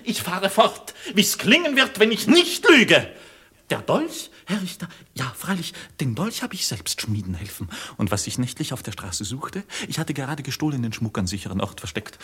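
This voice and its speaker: Husky, despairing young journeyman. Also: high-pitched, exaggerated voice. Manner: theatrical, sarcastic, swinging between hushed and fervent. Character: despairing, bitter young goldsmith's journeyman accused of murder. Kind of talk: 1950s German radio play acting. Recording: vintage 1950s radio play recording.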